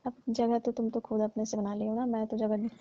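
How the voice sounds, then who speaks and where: A woman with a fairly high yet very mellow voice talks on the phone in a detached, dismissive way. Her delivery is casual, and the call recording is muffled and lo-fi. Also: level tone, brisk, dry recording. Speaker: call partner breaking off a relationship.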